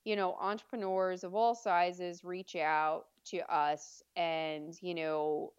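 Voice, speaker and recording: A woman with a deep voice, chatting easily as an interview guest about her PR agency. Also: takes it slowly, clear, resonant tone, dry recording, dull audio. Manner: relaxed, chatty, with fillers and easy laughs. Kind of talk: casual interview.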